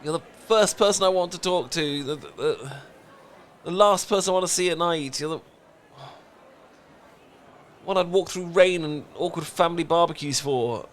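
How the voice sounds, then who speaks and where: A man's voice: fairly high pitch, moderate pace, fairly bright tone, slight background noise, lightly acted. A man with a British accent playing a flustered, lovestruck best friend in a romantic comedy audio roleplay, stammering and earnest. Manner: stammering, rambling, earnest confession.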